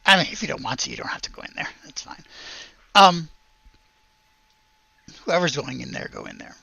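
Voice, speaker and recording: A man acts as game master for a tabletop roleplaying game, narrating in a bright, fairly high voice with a gravelly edge. He speaks haltingly, searching for words. The sound is dry and close. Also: expressive, no echo.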